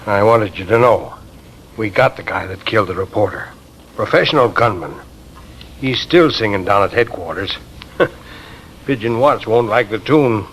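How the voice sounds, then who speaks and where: An actor playing a gruff but kindly police officer, hesitant and consoling, in 1950s radio drama style. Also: male voice, fairly low pitch, moderate pace, long pauses, a bit bright, a little hiss or hum, close to an everyday voice, low-quality recording. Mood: apologetic, plain. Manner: hesitant, plain-spoken, consoling.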